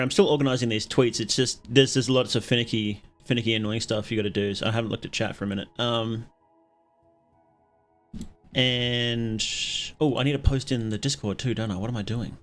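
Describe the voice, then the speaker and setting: A man hosting a livestream, chatting casually with viewers in a rambling, halting way and often interrupting himself. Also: mid-range voice, steady tone, faint music behind. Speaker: livestream host.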